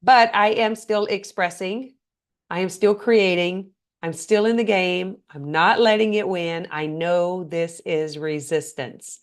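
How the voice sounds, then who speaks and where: A woman's voice: fairly low pitch, fairly even intonation, slow. Earnest woman hosting a motivational podcast. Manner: earnest, questioning the listener directly. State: slightly hoarse, congested. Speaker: podcast host. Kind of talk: motivational solo talk.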